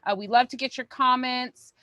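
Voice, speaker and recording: A woman with a fairly high voice, recorded close and dry, co-hosts an online theatre show. She gives informal, upbeat announcements to viewers in a conversational way. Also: steady tone, moderate pace, pushed and bright, no echo.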